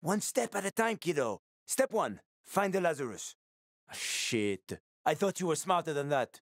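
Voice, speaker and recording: A man's voice: on the high side, sing-song, measured pace, no room sound, clean studio sound. A man playing a streetwise, wisecracking middle-aged survivor and smuggler in a video game, talking in a sly, casual, teasing way. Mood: urging, coaxing. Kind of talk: video game voice acting, conversational.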